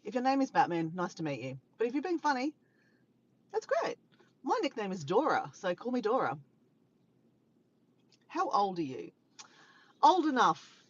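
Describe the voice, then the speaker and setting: A woman's voice: mid-range voice, wildly swooping pitch, normal speed, pauses often, breathy edge, lo-fi sound. An Australian woman live-streaming in casual chat, rambling and laughing as she reads out viewers' comments. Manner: chatty, rambling, laughs often, greets viewers.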